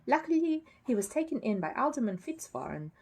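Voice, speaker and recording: Genial older gent in a children's tale, read by a woman, patient explainer, very varied pitch. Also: big pitch swings, a little fast, a little mellow, slightly rough, exaggerated voice. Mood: patient explaining.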